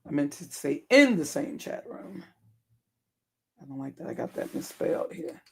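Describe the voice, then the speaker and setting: A livestream host with a hoarse, deep, worn voice, chatting casually with viewers, rambling and correcting themselves with frequent chuckles and ums. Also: very animated, moderate pace, fairly bright tone.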